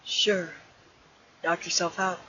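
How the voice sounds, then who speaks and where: A woman's voice: lowish voice, flat delivery, slow pace, pushed and bright, husky, no room sound, lo-fi sound, heavily acted. A woman voicing a laid-back adult male skeleton in a fan comic dub, talking casually and joking. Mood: easygoing. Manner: casual, joking, uses nicknames.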